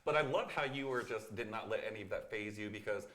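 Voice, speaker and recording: An American man co-hosting a podcast, chatting casually in an animated, supportive way. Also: fairly low pitch, fast, crowd noise. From United States. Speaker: podcast co-host. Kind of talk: casual chat.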